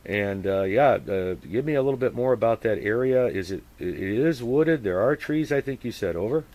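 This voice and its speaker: Low-voiced man on two-way radio, noisy recording. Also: animated, darkish tone. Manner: halting, thinking aloud. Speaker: amateur radio operator. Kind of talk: casual two-way radio chat with call-and-response procedure words.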